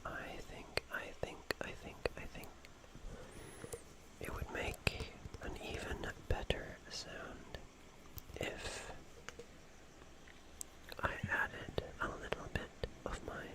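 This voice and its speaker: An ASMR host speaking in a soft, breathy, unvoiced whisper, gently repeating phrases in a roleplay style. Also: takes it slowly, long pauses, low-quality recording.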